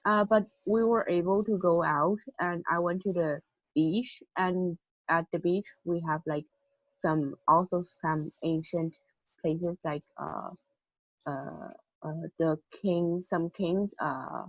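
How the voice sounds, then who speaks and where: Hesitant low-voiced female student, dull audio. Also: fairly even intonation, takes it slowly, dry recording. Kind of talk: informal class presentation. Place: online video class. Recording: dull lo-fi online call.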